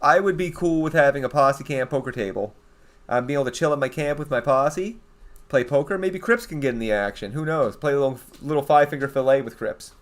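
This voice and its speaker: Canadian man, young adult, gaming streamer, rambling casual chat, mid-pitched voice. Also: fairly quick. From eastern Canada. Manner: chatty, rambling, reads out and answers viewer chat. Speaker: game streamer.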